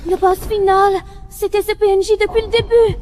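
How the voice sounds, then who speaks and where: A woman voicing a young female fighter in a French-dubbed animated series. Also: extremely high pitch, normal speed, background noise. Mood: tense. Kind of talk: scripted animated series dub.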